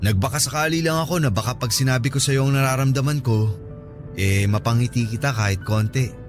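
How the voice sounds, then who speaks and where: A man's voice: mid-range voice, a little fast, lightly acted. An actor playing an earnest, flirty coworker smitten with his friend in a Filipino radio drama, coaxing and soothing. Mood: earnest confession. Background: background music.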